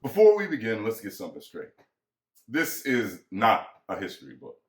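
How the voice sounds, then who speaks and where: A man's voice: fairly low pitch, wildly swooping pitch, moderate pace. A man giving an emphatic dramatic book reading, addressing the listener directly. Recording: echoey room.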